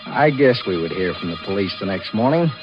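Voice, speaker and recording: A man's voice: low-pitched, lively intonation, a little fast. An actor playing a scheming, nervous forger and killer who tells his crime in clipped hardboiled style, in 1940s radio thriller acting. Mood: matter-of-fact. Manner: confessional, clipped hardboiled narration. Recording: muffled vintage radio recording, music behind.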